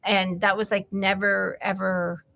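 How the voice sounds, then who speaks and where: A woman's voice: medium pitch, level tone, measured pace, gravelly, sharp tone. A middle-aged American woman hosting a casual livestream chat, chatty and rambling, laughing often between asides. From United States. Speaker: livestream host.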